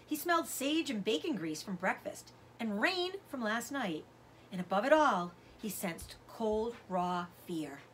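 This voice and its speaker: A middle-aged woman reading a children's novel aloud as a teacher does, with expressive narration. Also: mid-range voice, measured pace, slight background noise. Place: indoors, room with bookshelves.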